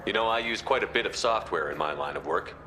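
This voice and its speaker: Man as wry military commander, game hero, fairly low voice, quick, direct, confident, music underneath. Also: animated, close to an everyday voice. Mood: inquisitive, dry. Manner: direct, casual, dry. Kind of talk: video game dialogue.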